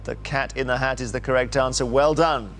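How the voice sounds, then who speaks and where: A middle-aged man hosting a quiz show, brisk and teasing as he reads questions in a TV studio. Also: mid-range voice, fairly even intonation, soft background music.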